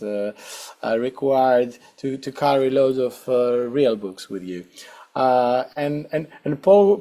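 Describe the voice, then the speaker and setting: A man's voice: medium pitch, vocal fry, dark tone. A middle-aged man with a Greek accent, answering interview questions hesitantly with many fillers and restarts. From Greece. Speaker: guest saxophonist.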